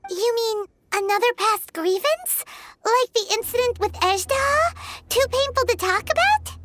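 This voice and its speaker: A woman voicing a tiny, childlike fairy companion in a video game, cutesy, excitable and earnest, with emphatic delivery. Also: measured pace, a little hiss or hum, exaggerated voice. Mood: pleading. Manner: squeaky, cutesy, emphatic, speaks of self in third person.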